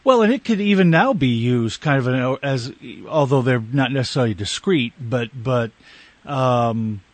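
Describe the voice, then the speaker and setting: A male talk radio host with a slight Canadian accent speaks conversationally, as an interviewer would. His mid-pitched voice is recorded close and dry, with no room sound. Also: animated, moderate pace, no echo.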